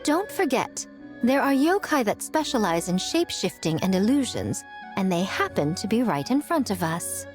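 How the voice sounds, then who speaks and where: A woman's voice: medium pitch, very animated, normal speed, close to an everyday voice. A woman voicing a sly, self-assured fox shrine woman in a video game, poised, teasing and eloquent. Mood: confident, explaining. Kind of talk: scripted video game voice acting. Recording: music behind the voice.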